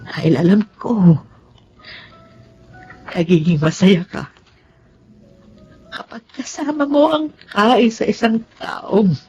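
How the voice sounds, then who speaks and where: A woman's voice: mid-range voice, takes it slowly, long pauses, warm tone, muffled recording, faint music behind. An actress playing a remorseful older woman telling her life story in a Filipino radio drama, emotional and pleading.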